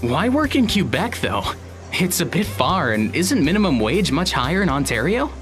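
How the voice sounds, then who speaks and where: An actor playing an awkward, polite teenage high school boy in an audio drama, speaking hesitantly with small stammers. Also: sing-song, moderate pace, fairly bright tone, faint music behind. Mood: hesitant, friendly. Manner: hesitant, stammering, nervous chuckles.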